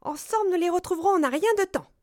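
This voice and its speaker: Lady putting on a tiny squeaky mouse, high and friendly, storybook style. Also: quick pace, dry recording, studio quality. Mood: friendly, eager. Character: small friendly female mouse, eager to help.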